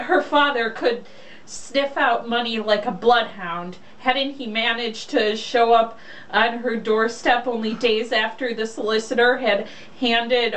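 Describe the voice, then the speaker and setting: A woman speaks at an unhurried pace with blunt sarcasm, moving between casual chat and mocking read-aloud. Her voice sits a little high. She is recorded at some distance from the mic, with faint noise behind her. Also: fairly bright tone. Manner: blunt, sarcastic, swears freely. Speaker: co-host reading a romance novel aloud.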